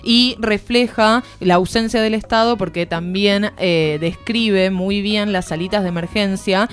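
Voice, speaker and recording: A woman's voice: mid-range voice, a little slow. A woman with an Argentine accent giving an informal, explanatory book review as a columnist on a radio show. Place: radio studio. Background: music.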